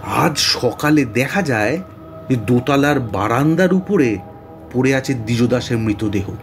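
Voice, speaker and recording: An actor playing a talkative, excitable middle-aged police detective in an audio drama, blustery, often stammering and repeating words. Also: male voice, medium pitch, a little slow, music in the background. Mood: grave, explanatory. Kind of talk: Bengali audio story acting.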